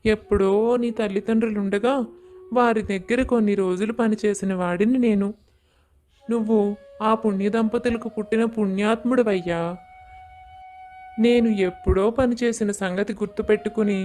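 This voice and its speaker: A woman's voice: medium pitch, fairly even intonation, normal speed, a little mellow, faint music behind, close to an everyday voice. A woman voicing a poor, grateful old man in a moral story, humble and sorrowful. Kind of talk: animated moral story dialogue.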